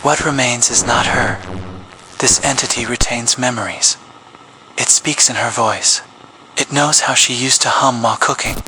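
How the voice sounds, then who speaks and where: A man reading a scripted public safety announcement as an emergency broadcast announcer, in a grave, measured official tone. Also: medium pitch, steady tone, sharp tone, a little hiss or hum, rough recording. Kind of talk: scripted horror narration.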